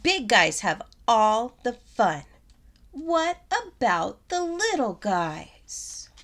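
A woman voicing a small, excitable young pig from a storybook, easily upset and given to dramatic wailing. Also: a little high, drawn-out words, exaggerated voice. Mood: indignant. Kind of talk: storybook character voice.

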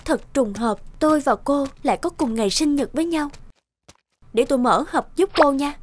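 High-voiced, wistful young woman played by an actress. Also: normal speed, a little hiss or hum, close to an everyday voice. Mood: wistful, lonely. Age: young adult. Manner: earnest, emotional. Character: gentle, wistful young woman longing for a mother. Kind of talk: animated drama voice acting. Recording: loud guitar music underneath.

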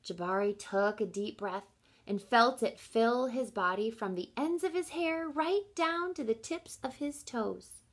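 Woman, thirties, teacher reading aloud, expressive, very animated pitch, unhurried, addressing children. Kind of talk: children's picture book read-aloud with questions to listeners.

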